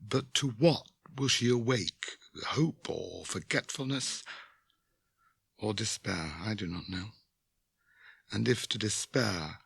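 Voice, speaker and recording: A man's voice: fairly low pitch, gravelly, a bit bright, breathy edge, no echo, exaggerated voice. Aragorn, a grave returned king. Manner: grave, solemn, measured. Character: noble kingly male healer and returned king. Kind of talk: 1980s radio drama acting.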